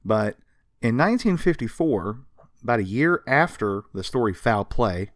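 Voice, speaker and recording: A man co-hosting a casual, comedic history podcast, telling stories from notes in an animated way with casual swearing. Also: mid-range voice, normal speed, no echo, clean studio sound. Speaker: podcast co-host.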